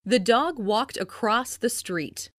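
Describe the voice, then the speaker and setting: A woman reads scripted English practice phrases in a clearly enunciated, instructional way. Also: fairly high pitch, lively intonation, slow, no echo, studio quality. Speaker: language lesson narrator.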